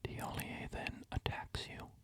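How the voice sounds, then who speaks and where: A man narrating game lore in a soft, unhurried whisper. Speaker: storyteller.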